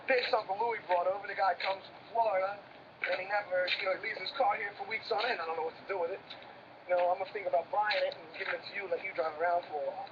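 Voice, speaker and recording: An actor playing a boastful, self-made older brother who swears a lot. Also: male voice, sits high, steady tone, close to an everyday voice. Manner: profane, boastful, rapid-fire. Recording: distant, dull, with background noise.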